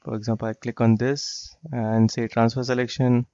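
Man explaining software over a screen share. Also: mid-range voice, flat delivery, normal speed, no echo. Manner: plain explanatory, repeats phrases like "you get the idea". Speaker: software trainer. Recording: dry, slightly dull lo-fi voice track.

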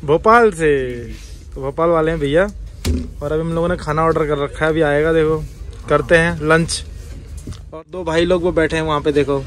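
A man's voice: fairly high pitch, steady tone, moderate pace, a bit bright. A man in his thirties chatting to camera as a travel vlogger, filming himself with a phone at arm's length inside a train coach. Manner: chatty, calls himself "your brother", addresses viewers as guys. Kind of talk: casual vlog narration to camera. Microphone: phone held at arm's length, selfie framing.

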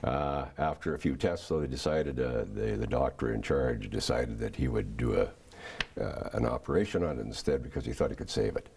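An older man giving a testimony in a TV studio interview, answering in a halting way with many restarts. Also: rumbling low, a little mellow, slight background noise. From Canada. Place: small TV studio set, indoors.

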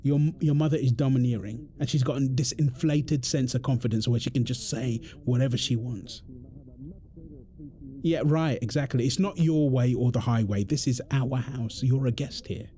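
A man's voice: medium pitch, normal speed, mellow, natural voice. A British man playing an exasperated, defensive husband in an audio roleplay, arguing in an insistent, repetitive way. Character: exasperated, defensive husband and father quarrelling indignantly. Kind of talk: dramatic one-sided argument roleplay.

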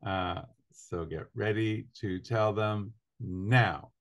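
A man's voice: lowish voice, takes it slowly, fairly bright tone. A middle-aged man hosting a video call interview, chatty and casual with filler uhs, speaking from an indoor room. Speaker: interviewer, host. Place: indoor room with large window.

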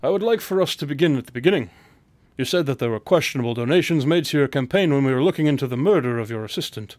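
A man's voice: animated, fast talker, darkish tone, no echo, close to an everyday voice. A man playing a shrewd, exacting detective with a dry, precise, formal manner in a radio drama. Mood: probing. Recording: clear background noise, low rumble.

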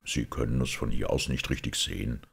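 A man voicing a grave, quiet priest detective in an audiobook, slow, hushed and solemn. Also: very deep voice, fairly even intonation, gravelly, husky, heavily acted. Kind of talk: audiobook character voice.

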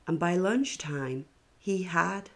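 A woman reading a children's picture book aloud in a deliberate, expressive storytelling style. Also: fairly low pitch.

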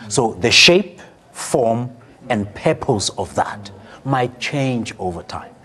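A middle-aged man, giving measured analysis as an academic on a studio panel. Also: mid-range voice, expressive, bright, forceful tone. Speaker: political analyst, professor.

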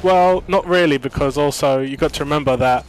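A man with a British accent chatting casually with friends on voice chat, relaxed and hesitant with fillers. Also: on the high side, fairly quick, faint music behind, poor audio. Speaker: gamer on voice chat.

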